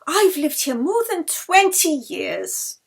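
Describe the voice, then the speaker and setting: A woman's voice: high voice, very animated, takes it slowly, creaky, pitched above the performer's own voice, full character voice. A woman reading an audiobook as an anxious, protective, earnest woman violinist who pleads. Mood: guarded, calm. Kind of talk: audiobook character dialogue.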